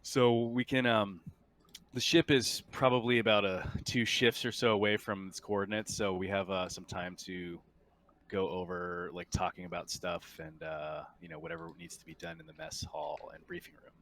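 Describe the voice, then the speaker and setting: A man with a fairly low voice acts as game master for a tabletop roleplaying session. He talks informally and haltingly, setting scenes over voice chat. There is some faint noise on the recording. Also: a bit bright.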